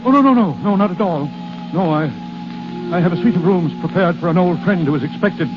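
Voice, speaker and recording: An actor in a 1930s radio drama playing an anxious aristocratic master of the house, speaking in an urgent, agitated way. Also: male voice, high voice, a little fast, dull audio, natural voice. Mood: stunned, halting. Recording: band-limited 1930s radio broadcast, music behind. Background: music.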